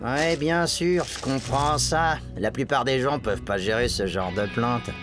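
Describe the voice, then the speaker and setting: A man's voice: medium pitch, a little slow, over music, lightly acted. Sly teenage cartoon hustler played by a man. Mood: sly, coaxing. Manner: sly, coaxing, mock-casual salesmanship. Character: sly teenage boy swindler, shady plant seller. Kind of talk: French cartoon voice acting.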